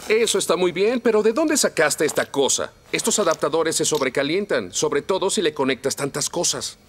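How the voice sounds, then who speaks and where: A man playing a calm, kindly firefighter in a Latin American Spanish cartoon dub, patiently explaining. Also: a little high, moderate pace, slight background noise, close to an everyday voice. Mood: patient concern. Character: calm, sensible, kindly male firefighter hero. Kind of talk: children's cartoon dubbing.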